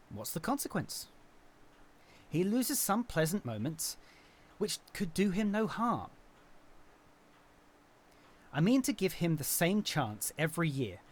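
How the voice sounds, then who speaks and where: Casting: a jovial kindly nephew, lively and expressive. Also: male voice, on the high side. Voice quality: warm, laughing, jovial. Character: cheerful young man, good-natured nephew.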